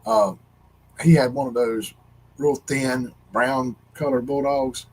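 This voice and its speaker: A man's voice: mid-range voice, steady tone, slow pace, a little mellow, breathy edge, low-quality recording. A man with a Southern US accent co-hosting a livestream, telling rambling, joking stories in a folksy, casual way. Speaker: livestream co-host. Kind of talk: casual chat and storytelling. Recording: noticeable background noise.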